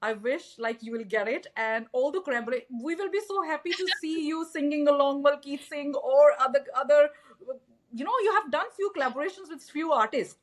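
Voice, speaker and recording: A woman with a notably high voice hosts a podcast interview. She phrases her questions hesitantly, with many fillers and pauses. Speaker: podcast host.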